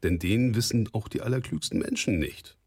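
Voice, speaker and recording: A man's voice: lowish voice, normal speed, darkish tone, slightly rough, dry recording, studio quality. A man voicing an honest but crafty young farmhand who talks plainly and plays dumb. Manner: plain-spoken, feigning simplicity. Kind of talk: audiobook character voice.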